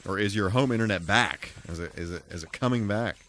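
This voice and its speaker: A man's voice: fairly low pitch, a little fast, a bit bright. A man giving casual live commentary while playing a video game, full of mild folksy exclamations and mock-exasperated asides. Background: music.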